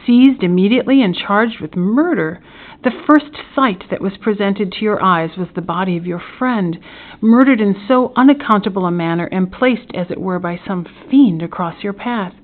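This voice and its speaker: A woman voicing a benevolent, grave male magistrate with formal, measured speech in a storybook reading. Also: medium pitch, phone-quality sound, lightly acted. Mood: sympathetic.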